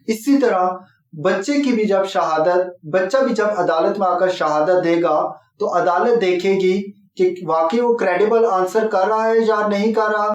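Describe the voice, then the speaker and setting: Pakistani guy explaining law step by step, echoey room, bit far from the mic. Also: high-pitched. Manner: methodical, explanatory. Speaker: law lecturer. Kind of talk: explanatory lecture. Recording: roomy echo, distant from mic.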